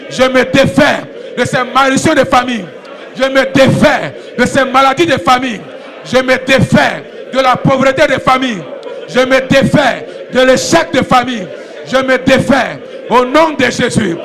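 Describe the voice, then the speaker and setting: A pastor from Ivory Coast leading a church in shouted, repetitive prayer declarations. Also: male voice, extremely high pitch, creaky. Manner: repetitive, commanding, chant-like. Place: church sanctuary.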